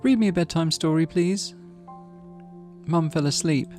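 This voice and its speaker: A man's voice: on the high side, measured pace, darkish tone, faint music behind, voice pushed up, close to an everyday voice. A man voicing a small, pleading little sister in a visual novel game.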